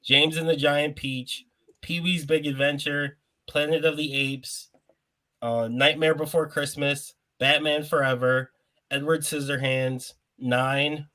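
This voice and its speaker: A man's voice: a little high, fairly even intonation, slow pace, bright, forceful tone, rough recording. A man hosting a casual podcast, often laughing as he reads out a movie bracket. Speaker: podcast host.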